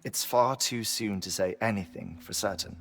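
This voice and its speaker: Brainy odd scientist in a game, lowish crisp bright voice, formal and measured. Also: male voice. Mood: pensive, guarded. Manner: precise, deliberate, formal. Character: cerebral, measured, eccentric male scientist. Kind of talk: video game cutscene acting.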